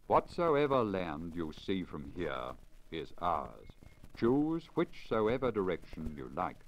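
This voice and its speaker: A man's voice: low voice, huge pitch swings, slow pace, lo-fi sound, full character voice. A man voicing a stately head man who formally declares terms in a 1950s radio story, on a crackly old disc. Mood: solemn. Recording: crackly deteriorated 1950 lacquer disc recording.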